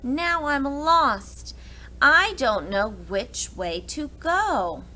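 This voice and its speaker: A woman's voice: sits high, expressive, very slow, pushed and bright, pitched above the performer's own voice, full character voice. A woman voicing a curious, lost little girl from a storybook in a plaintive, hesitant way. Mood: worried.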